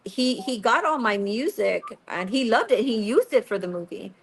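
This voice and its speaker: A woman with a fairly high voice talks about her music as a radio guest. She rambles and restarts, often slipping into acted-out quotes of what people told her. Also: lively intonation, normal speed, breathy edge. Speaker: guest singer-songwriter. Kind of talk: casual radio interview.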